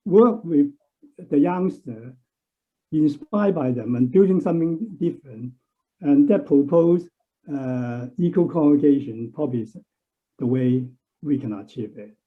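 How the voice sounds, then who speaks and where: A man from a church congregation speaking earnestly on a video call, often pausing to search for words. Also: a little high, animated. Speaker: church member. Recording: tinny phone-like line.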